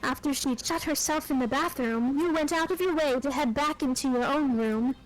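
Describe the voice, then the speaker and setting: Woman playing a high-voiced, composed girl detective. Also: soft background music, close to an everyday voice, poor audio. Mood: cool, accusing. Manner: measured, interrogating. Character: cool, composed, probing teenage girl detective. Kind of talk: fan voice acting of a video game.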